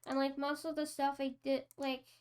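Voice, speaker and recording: A woman's voice: high-pitched, flat delivery, moderate pace, darkish tone. A young French Canadian woman with a French Canadian accent, chatting casually on a livestream, rambling with lots of fillers and restarts. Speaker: streamer.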